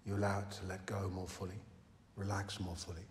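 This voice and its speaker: A man leading a guided meditation as a teacher, speaking slowly and gently with long unhurried pauses. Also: sits low, completely flat delivery. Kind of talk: guided meditation, slow deep-relaxation instruction. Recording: reverberant hall, some background noise.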